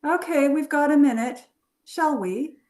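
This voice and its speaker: A woman playing a flirtatious, knowing adventurer professor in a script table read, with a teasing, unhurried delivery. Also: high-pitched, a little mellow. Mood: businesslike.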